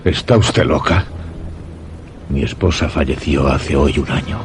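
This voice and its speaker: An actor playing an obsessive, unhinged widowed master of a house in a suspense radio drama, courteous yet intense. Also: male voice, rumbling low, measured pace, background noise. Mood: stern, suspicious. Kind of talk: Spanish-language suspense radio drama acting.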